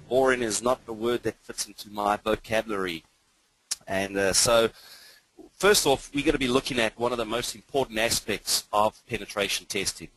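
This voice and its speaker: A man's voice: lowish voice, a bit bright, no echo, poor audio. A man with a mixed international English accent, part British and part South African, presenting a live webinar as a trainer in an informal, enthusiastic, hesitant manner. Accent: mixed international English, hints of British and South African. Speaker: cybersecurity trainer.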